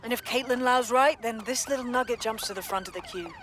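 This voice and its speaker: An actress playing a businesslike resistance handler giving a mission briefing in a video game. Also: female voice, a little high, fast, noisy background, lightly acted. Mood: businesslike, grim.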